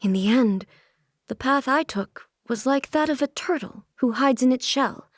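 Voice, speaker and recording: An actress voicing a solemn, aloof immortal shogun and swordswoman in a video game, with formal, measured, stately delivery. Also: sits high, expressive, dry recording, clean studio sound. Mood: reflective, composed. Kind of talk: video game character voice lines.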